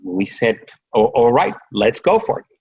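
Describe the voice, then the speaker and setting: A man in his sixties with a Cuban accent, a native Spanish speaker, telling his own story conversationally and haltingly. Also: mid-range voice, sing-song, a little mellow, slightly rough, lo-fi sound. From Cuba. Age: 60s. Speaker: podcast guest, physician.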